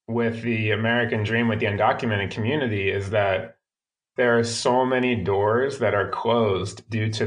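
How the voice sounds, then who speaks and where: A man being interviewed as a filmmaker, speaking in a thoughtful, halting way with frequent restarts. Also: on the low side, a bit bright, rough recording. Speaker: filmmaker guest. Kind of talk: interview conversation.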